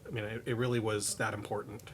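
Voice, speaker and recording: A man co-hosting a podcast in relaxed, conversational banter and discussion, recorded with some noise behind him. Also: fairly low pitch, fast talker. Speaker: podcast co-host. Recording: noticeable background noise.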